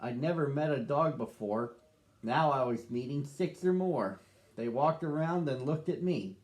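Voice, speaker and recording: A man's voice: mid-range voice, expressive, unhurried, darkish tone, natural voice. A man narrating as a sad, lonely young male dog in a children's storybook, reading earnestly. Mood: wistful.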